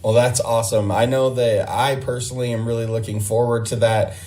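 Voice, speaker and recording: An American man hosting a podcast, chatting in a friendly, relaxed way and laughing easily. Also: mid-range voice, fairly quick. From United States. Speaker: podcast host. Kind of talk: casual interview chat.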